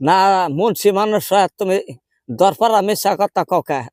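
A man acts out a quarrelsome old grandmother from a village, high in pitch and speaking rural Bangladeshi dialect. She complains and haggles stubbornly, as in a comic prank call, with a dry sound free of room echo. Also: moderate pace, a little mellow, natural voice. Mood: indignant, insistent. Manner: insistent, complaining, argumentative.

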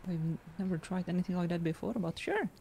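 A woman improvising in-character conversation in an online game, halting as she searches for words. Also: lowish voice, vocal fry, dark tone, a little hiss or hum.

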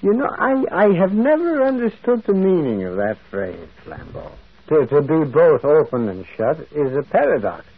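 An actor playing a kindly, shrewd priest with an avuncular, musing manner in a radio drama. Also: male voice, on the high side, wildly swooping pitch, normal speed, slightly rough, full character voice. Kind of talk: 1940s radio drama acting. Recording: dull old radio broadcast.

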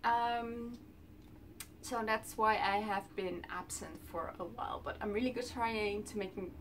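A woman's voice: mid-range voice, steady tone, a little slow, far from the mic, noisy background. A woman in her twenties from the Netherlands with a Dutch accent, chatting casually to camera from her bedroom, with lots of ums while she talks with her hands. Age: 20s. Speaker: vlogger. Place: small bedroom indoors.

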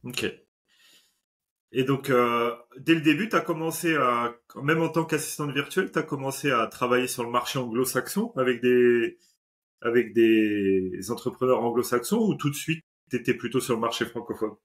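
A man's voice: medium pitch, normal speed. Chatty man hosting a video interview. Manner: relaxed, chatty, filler-heavy. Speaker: podcast host. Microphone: video call.

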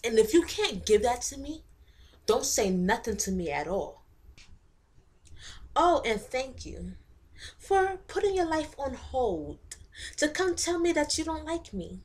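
A woman playing a picked-on but principled young woman who stands her ground in a monologue, speaking in a measured, pointed way. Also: medium pitch, a little mellow.

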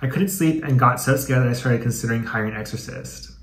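A man's voice: mid-range voice, quick pace. A young American man hosting a comedic video, reading aloud and commenting in a chatty, slangy, playfully dramatic way. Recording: echoey room with some background noise.

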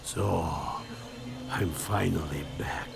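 A dying space captain. The voice is low and heavily creaky, extremely slow and weak, halting between fragments, with music underneath. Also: male voice, heavily acted. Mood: faint, awed. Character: dying, awestruck male Soviet cosmonaut captain. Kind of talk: dramatic video game cutscene acting.

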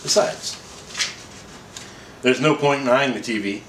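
A man's voice: medium pitch, measured pace, a bit bright, close to an everyday voice. A man voicing a dry, stubborn husband in a comic story, wry and matter-of-fact. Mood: snappy. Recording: roomy echo, faint background noise.

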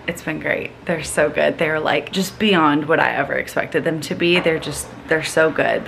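A woman's voice: sits low, creaky, a bit bright, echoey room, a little hiss or hum, low-quality recording. A young woman in her twenties chatting casually to the camera in a vlog, full of "like" fillers, filmed at home and in her car. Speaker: vlogger. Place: small apartment bathroom and car.

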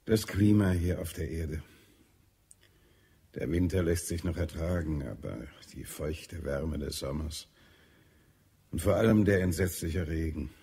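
Deep, gravelly, edgy health adviser. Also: male voice, warm tone, exaggerated voice. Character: irritable, weary male Martian health adviser, grimly concerned. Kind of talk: German audio drama acting.

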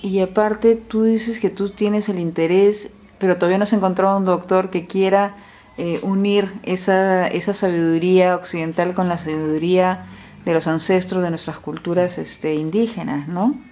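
A woman interviewer asking long, leading questions in an unhurried way. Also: fairly low pitch, fairly even intonation, mellow.

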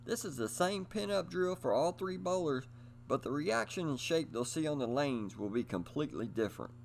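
A middle-aged man hosts a how-to video. His voice sits a little high for a man and swings widely in pitch. He delivers his scripted explanations in an upbeat, direct presenter style. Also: expressive, moderate pace, vocal fry, a little hiss or hum. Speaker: host of a bowling instruction video. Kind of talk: scripted instructional narration.

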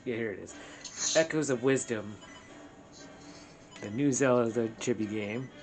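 A man's voice: medium pitch, measured pace. A man playing a video game and commenting casually as he goes, co-hosting. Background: video game music.

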